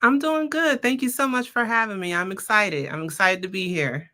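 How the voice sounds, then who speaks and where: A woman's voice: mid-range voice, normal speed, sharp tone. A woman speaking as a podcast guest, giving casual, conversational answers in an explanatory, anecdotal way.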